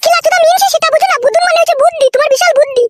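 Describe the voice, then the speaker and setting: A woman voicing a sharp-tongued, quarrelsome village wife in a comedy cartoon. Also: rapid-fire, very noisy. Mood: teasing admiration. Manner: shrill, cheeky, quarrelsome. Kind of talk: Bengali comedy cartoon dubbing.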